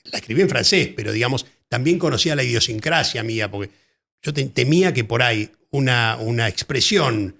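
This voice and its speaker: A man with an Argentine Spanish accent, a writer being interviewed, talking in a thoughtful, halting way and often repeating words as he searches for phrasing. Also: medium pitch, expressive, vocal fry, a bit bright. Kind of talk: casual interview conversation.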